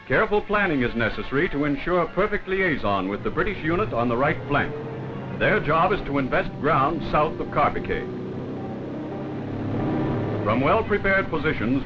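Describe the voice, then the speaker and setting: A man reading scripted wartime newsreel narration in a formal, dramatic 1940s manner. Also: fairly high pitch. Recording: dull vintage 1940s film soundtrack. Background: loud background music.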